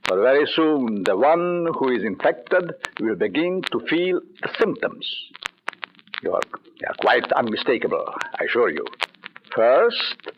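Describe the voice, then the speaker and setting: An actor playing a vengeful, sadistic doctor in an old radio horror drama, taunting his captives in a measured, menacing way. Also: mid-range voice, big pitch swings. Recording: dull, noisy old radio recording.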